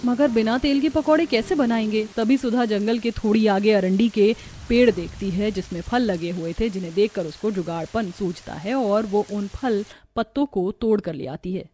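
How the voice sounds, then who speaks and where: A woman's voice: mid-range voice, normal speed, hardly any pauses, warm tone. A woman narrating a scripted cartoon story as a storyteller.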